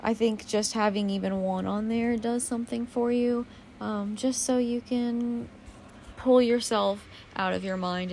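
Low-voiced woman, candid and rambling. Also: gravelly, a little mellow, a little hiss or hum. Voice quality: naturally low voice. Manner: rambling, candid, swears casually, self-deprecating. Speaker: podcast host. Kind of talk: casual solo podcast monologue.

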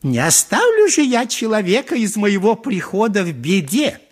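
An actor playing a pompous, lecherous high priest in a theatrical radio play, speaking in an oratorical, unctuous way. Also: male voice, sing-song, moderate pace, slightly rough, full character voice. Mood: indignant, scheming. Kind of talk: 1980s Soviet radio play acting.

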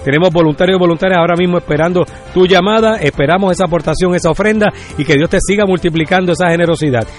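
High-voiced fundraiser. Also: male voice, soft background music. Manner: slow, deliberate repetition of a phone number. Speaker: radio fundraiser announcer. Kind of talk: religious donation appeal.

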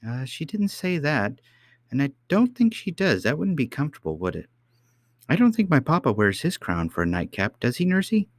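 A man's voice: on the high side, big pitch swings, normal speed, no echo, clean studio sound, voice pushed up. A man voicing an earnest, indignant little princess in a storybook, eager and insistent in a childlike way.

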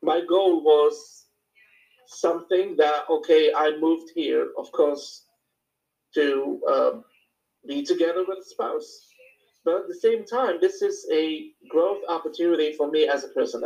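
A man's voice: fairly high pitch, creaky, a little mellow, muffled recording. A man from Morocco with a Moroccan accent, speaking reflectively and hesitantly as he explains his personal experience in an informal talk to a small group.

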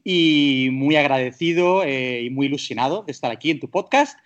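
A man's voice: medium pitch, expressive, normal speed, dull audio. A man from the Barcelona area with an accent from Spain, chatting casually as a podcast guest, often hesitating and rephrasing himself. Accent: Spanish from Spain. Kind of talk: casual interview chat.

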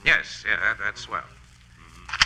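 A man's voice: fairly low pitch, takes it slowly, pushed and bright, a little hiss or hum, heavily acted. An American man acting a brash, slangy newspaper photographer in an old-time radio crime drama. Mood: smooth, polite. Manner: slangy, casual.

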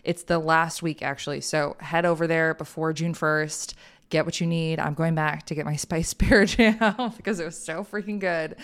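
A podcast host with a Midwestern American accent, chatting casually and enthusiastically with a guest and laughing often. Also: female voice, sits low, fairly even intonation, normal speed, clean tone. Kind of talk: casual interview chat.

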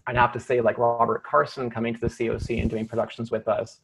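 A young man, an opera tenor, answering interview questions in a thoughtful, halting way with many restarts. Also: mid-range voice, monotone, creaky, fairly bright tone, slight background noise, poor audio. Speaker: opera singer, light lyric tenor. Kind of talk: interview conversation.

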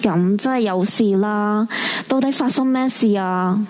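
A woman's voice: mid-range voice, measured pace, a little mellow, a little hiss or hum, lightly acted. A woman playing an anxious, loyal young female friend in a horror radio drama, worried and urgent, with music behind her. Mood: concerned.

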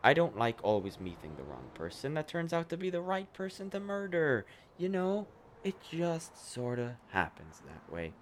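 A man playing an unhinged, childish, foul-mouthed vigilante killer in a dark comedic radio play, rambling theatrically between whispers and outbursts. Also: a little high, wildly swooping pitch, moderate pace, slight background noise. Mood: brooding.

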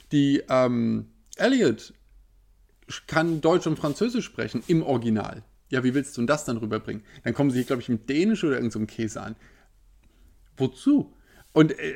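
A man in his thirties ranting in a casual, animated way, firing off rhetorical questions. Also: medium pitch, gravelly. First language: German. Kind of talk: casual opinion monologue.

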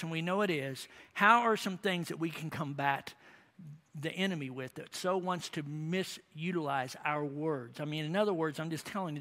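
A man preaching a sermon, telling humorous stories with animated emphasis. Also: a little high, big-room sound. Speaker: pastor.

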